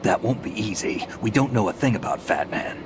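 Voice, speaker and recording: Actor as low-voiced, cool seasoned soldier. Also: male voice, animated, fairly quick, background noise, lightly acted. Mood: dry. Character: cool, seasoned soldier, dry and self-assured. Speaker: navy lieutenant. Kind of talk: video game voice acting.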